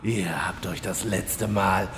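A man playing a menacing, gloating demon warlord in a parody audio drama, speaking slowly and pompously with a gravelly voice. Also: sits low, fairly bright tone. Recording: reverberant hall, faint noise.

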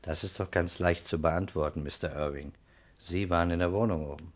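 Deep-voiced, probing investigator. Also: male voice, fairly even intonation. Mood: probing, dry. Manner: measured, terse, matter-of-fact. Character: calm, probing male investigator. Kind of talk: 1960s German radio crime drama acting.

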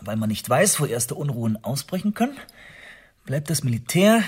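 An actor playing a defiant, cornered English spy in a historical radio play, husky and reluctant, with irritated evasiveness. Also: male voice, medium pitch, big pitch swings, moderate pace. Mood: reluctant, defensive.

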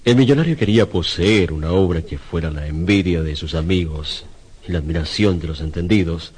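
A tormented, brooding artist and husband in an old-style radio suspense play. His very low voice is husky, and he speaks gravely, with theatrical, hesitant pauses. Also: a little mellow. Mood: intense, brooding. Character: troubled Spanish sculptor, brooding husband tormented by a commission.

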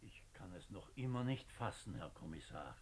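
A man's voice: low-pitched, lively intonation, moderate pace, a little hiss or hum. An actor playing a bewildered, disappointed middle-aged male minister in a 1950s German radio play, troubled and questioning. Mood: stunned, subdued. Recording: 1950s radio drama recording.

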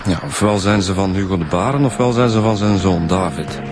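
An actor with a Flemish accent playing an easygoing, practical police detective, informal and helpful, in a radio drama. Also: male voice, low-pitched, a little fast, over music, lightly acted. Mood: easygoing, brisk.

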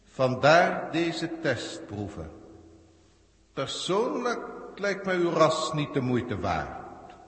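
Cold, echoing alien overlord. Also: male voice, a little high, huge pitch swings, slow pace, long pauses, a bit bright, heavily acted. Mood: cold, disdainful. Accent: Netherlandic Dutch. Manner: formal, pedantic, condescending. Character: cold, haughty alien galactic proctor judging humans. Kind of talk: 1960s radio drama acting. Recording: echoey hall reverb, 1960s radio recording.

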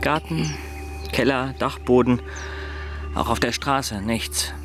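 A man's voice: mid-range voice, takes it slowly, fairly bright tone, faint music behind. An actor playing a sober, sardonic, sceptical man in a radio play. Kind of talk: German radio play acting.

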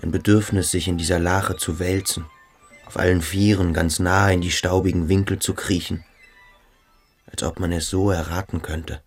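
A man playing a brooding, earnest cadet in a radio drama, hushed and searching. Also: deep voice, fairly even intonation, normal speed, faint music behind. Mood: earnest, dreamy. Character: brooding, earnest male cadet at a boarding school. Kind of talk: literary radio drama acting.

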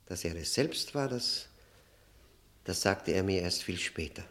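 An actor playing a haunted, agitated man confessing his past in a German radio drama, halting and brooding, swinging from emphatic outbursts to near whispers. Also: sits low, expressive, a bit bright. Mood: anguished, hushed.

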